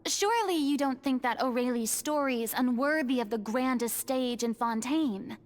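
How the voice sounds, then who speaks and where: A woman's voice: sits high, normal speed, dry recording, close to an everyday voice. A woman playing a theatrical, confident, grandly bossy young celebrity in a video game. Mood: challenging.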